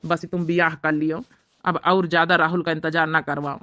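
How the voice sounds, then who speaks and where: A woman's voice: low voice, level tone, normal speed, sharp tone, dry recording, studio quality. A woman with an Awadhi accent voicing an anxious, pleading young widowed father and elder brother in a cartoon story. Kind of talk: amateur cartoon story dialogue.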